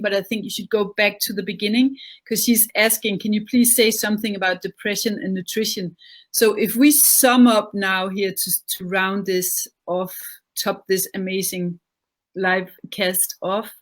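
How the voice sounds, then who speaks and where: Woman hosting a live interview. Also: medium pitch. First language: Danish. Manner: chatty, searching for words, many repeats. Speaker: interview host.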